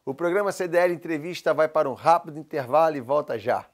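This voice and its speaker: A man's voice: fairly high pitch, fast. A man hosting a TV interview program, introducing his guest and prompting him with short questions. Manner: attentive, frequent short affirmations. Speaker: TV talk show host. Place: TV studio.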